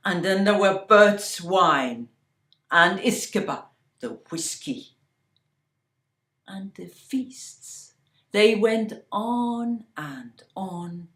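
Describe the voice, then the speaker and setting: A woman telling a fairy tale slowly and deliberately, sometimes dropping to a hushed whisper. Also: fairly low pitch, echoey room. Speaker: storyteller. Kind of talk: oral fairy-tale storytelling.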